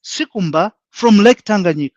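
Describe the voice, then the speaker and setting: A man's voice: high voice, lively intonation, normal speed, no echo, muffled recording. A man with a Zambian accent, a panel guest speaking frankly and emphatically in a live online discussion, laying out his points one by one.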